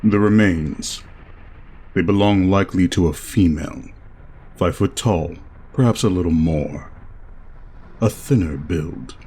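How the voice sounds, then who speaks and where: A man's voice: low voice, warm tone, slightly rough. A man playing an enigmatic masked doctor in a horror audio drama, speaking formally and without emotion. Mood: clinical, detached. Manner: formal, measured, emotionless phrasing.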